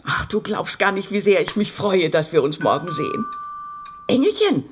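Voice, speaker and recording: An actress playing a doting, excitable middle-aged mother in an audio drama, effusive and expressive. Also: medium pitch, moderate pace, soft background music, lightly acted. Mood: delighted, affectionate.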